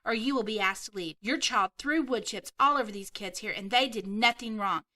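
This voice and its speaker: Woman reading a firm, formal club staffer, bright tone. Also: mid-range voice, moderate pace, lightly acted. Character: polite, firm country club employee.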